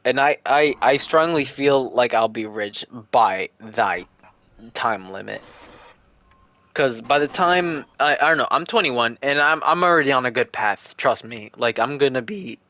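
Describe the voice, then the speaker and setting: A man's voice: mid-range voice, expressive, unhurried, bright, forceful tone. Man in his twenties, streamer, casual chat, earnest, rambling, restarts often. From United States. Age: 20s. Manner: earnest, rambling, repeats himself while thinking aloud. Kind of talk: casual livestream Q&A.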